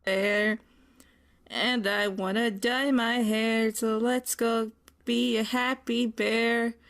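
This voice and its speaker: Slow, higher-pitched woman in online video. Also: flat delivery. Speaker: video maker.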